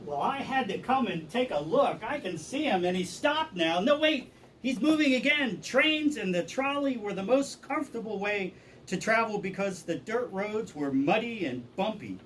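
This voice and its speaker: A man with a high-pitched voice speaks as a pastor hosting a children's puppet show. His delivery is scripted presentation, pitched well above most men. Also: expressive, normal speed, fairly bright tone, a little hiss or hum, rough recording.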